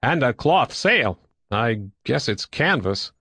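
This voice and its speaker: Man voicing a practical, observant crewman, lively intonation. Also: medium pitch, takes it slowly, husky, no echo, heavily acted. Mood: matter-of-fact. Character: observant, practical male crewman inspecting machinery, thoughtful. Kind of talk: audiobook character dialogue.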